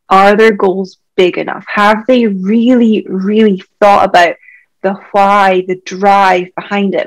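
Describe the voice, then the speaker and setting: A woman's voice: medium pitch, rough recording. A Scottish woman in her thirties, a doctor and health coach, chatting candidly as a podcast guest with lots of "like" fillers. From Scotland. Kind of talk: casual podcast conversation.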